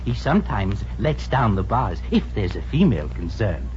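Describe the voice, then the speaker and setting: Sour, swooping sarcastic supervisor. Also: male voice, fairly low pitch, very animated, moderate pace, a little mellow, a little hiss or hum. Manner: snide, withering, dry. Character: sour, sarcastic male department store supervisor. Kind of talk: 1950s radio comedy acting. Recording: muffled old radio recording.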